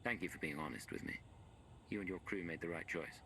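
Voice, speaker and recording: An actor playing a stern, pragmatic heroic leader in a video game, speaking in a measured, curt way. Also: male voice, deep voice, a bit bright, slight background noise. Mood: calm, resolute. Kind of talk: video game dialogue acting.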